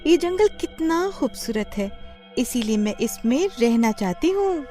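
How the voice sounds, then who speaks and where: A woman voicing a small, wide-eyed child in a story, with a drawn-out childlike delivery. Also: high-pitched, very animated, dark tone, soft background music, pitched above the performer's own voice. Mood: curious.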